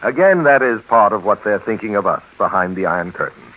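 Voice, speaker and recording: An American man narrating a 1950s radio documentary drama in an earnest, measured, rhetorical manner. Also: fairly low pitch, lively intonation, a bit bright, slight background noise, low-quality recording. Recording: vintage radio recording, music.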